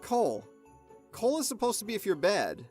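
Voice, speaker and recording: Sarcastic, animated young skeptic acted by a woman. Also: medium pitch, normal speed, faint music behind. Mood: incredulous. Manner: sarcastic, incredulous. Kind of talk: radio drama acting.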